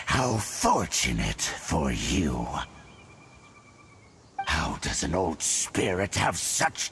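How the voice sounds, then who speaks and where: Gravelly, haughty dark herald villain. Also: male voice, low voice, a little hiss or hum, heavily acted. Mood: cold, scornful. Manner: pompous, sneering, theatrical. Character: haughty, menacing male herald of the abyss. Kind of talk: fantasy game villain acting.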